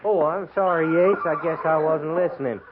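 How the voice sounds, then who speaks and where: An actor playing a simple, stubborn loner cavalry trooper, halting and folksy, with an American accent in 1950s radio style. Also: male voice, fairly high pitch, steady tone, lightly acted. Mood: sheepish. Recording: tinny, noisy 1950s radio recording.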